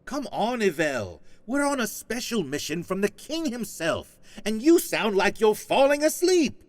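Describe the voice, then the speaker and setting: A man's voice: sits high, very animated, unhurried. A man playing an eager, bold young knight in an audio drama. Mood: eager, teasing.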